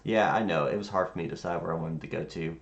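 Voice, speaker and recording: A man streaming as a virtual air traffic controller, chatting casually in a halting, rambling way as he thinks aloud. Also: deep voice, creaky. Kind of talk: casual livestream chat. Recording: roomy sound with faint background noise.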